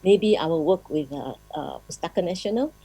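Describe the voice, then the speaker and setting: A woman with a Malaysian accent giving an informal speech as a publisher, conversational and pausing as she goes. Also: fairly low pitch, lively intonation, gravelly, dark tone, husky, dry recording, rough recording. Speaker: publisher and guest speaker.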